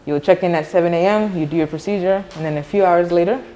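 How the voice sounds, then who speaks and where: A woman's voice: lowish voice, fast talker, mellow, faint music behind, low-quality recording. A young woman from Ghana with an American accent, a clinic director explaining services in a friendly way while giving a tour. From Ghana, raised in the United States. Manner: friendly, explanatory, laughs easily. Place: clinic corridor indoors.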